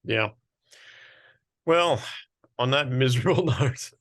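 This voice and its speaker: Blunt, wry male interviewer, medium pitch. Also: takes it slowly, a bit bright. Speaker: interviewer and host. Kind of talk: casual interview chat.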